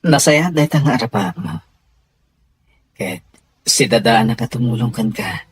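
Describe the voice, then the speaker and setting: Husky, sincere young Ilocano gentleman. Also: mid-range voice, expressive, moderate pace, no room sound. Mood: tender, earnest. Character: sincere young gentleman employer wooing his servant. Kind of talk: Filipino radio drama acting.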